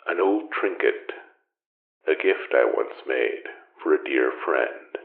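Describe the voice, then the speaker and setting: An extremely low, gravelly voice belongs to an ancient, secretive man of magic. He speaks slowly and solemnly in formal, old-fashioned phrasing, as a mentor in an audio drama, heard muffled and echoing. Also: lively intonation, exaggerated voice. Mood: quiet, knowing. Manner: formal, archaic, measured phrasing. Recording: muffled narrow band, echoey, distant.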